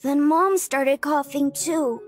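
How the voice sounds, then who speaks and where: A sickly little orphan boy in an anime game. The voice is high, slow and innocent, trusting in every word. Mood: wistful. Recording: game music underneath.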